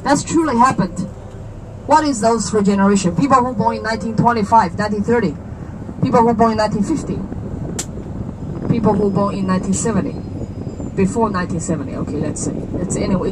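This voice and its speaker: A woman's voice: mid-range voice, fairly quick. Chatty Chinese-accented woman guide, street traffic. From China. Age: 30s. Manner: chatty and explanatory, asks listeners questions, jokes. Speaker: tour guide. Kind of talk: explaining to visitors on a walking tour. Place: outdoors on a city street.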